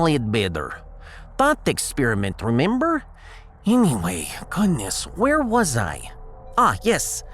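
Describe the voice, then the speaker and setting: A man's voice: high-pitched, exaggerated voice. A man voicing a haughty, eccentric Russian woman scientist in a science fiction audiodrama, lecturing and teasing with a Russian accent and dropped articles. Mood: scornful, exasperated.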